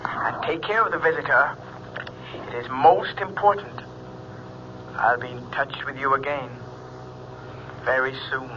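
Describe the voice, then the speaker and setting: An actor playing a sinister, controlling fortune teller on the telephone in an old radio drama, speaking in a slow, insistent way. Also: male voice, medium pitch, lightly acted. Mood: ominous. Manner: slow, insistent, ominous repetition. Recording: dull, noisy old radio recording.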